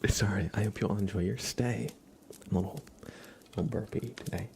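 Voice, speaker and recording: A man hosting an ASMR livestream in a gentle, hushed voice that often drops into a whisper, close to the microphone. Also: lowish voice, a little fast, gravelly, slight background noise. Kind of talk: ASMR, soft-spoken and whispered livestream chat.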